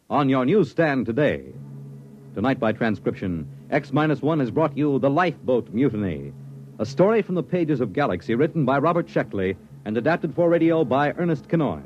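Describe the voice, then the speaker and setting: A formal American man announces a 1950s radio show with dramatic weight. His pitch is medium and moves widely, over prominent music, on a dull vintage broadcast recording. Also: a little mellow. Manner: formal, portentous.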